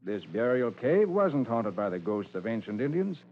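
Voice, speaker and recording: An actor playing a confident, methodical private detective in an old radio drama, explaining with assurance. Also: male voice, medium pitch, big pitch swings, moderate pace. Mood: assured, explanatory. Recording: dull, noisy old radio recording.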